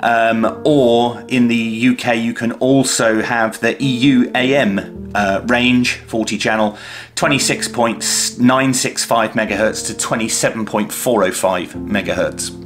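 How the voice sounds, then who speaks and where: Male product reviewer, mid-pitched, slow and measured, halting mid-phrase, clear guitar music underneath. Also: fairly even intonation. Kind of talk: unscripted unboxing demonstration and review.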